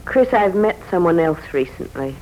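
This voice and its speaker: A woman's voice: lowish voice, expressive, normal speed, lo-fi sound, close to an everyday voice. An actress playing an anxious woman in danger, in a melodramatic 1940s radio drama style. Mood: troubled. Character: anxious woman menaced by her lover. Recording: noisy vintage 1940s radio recording.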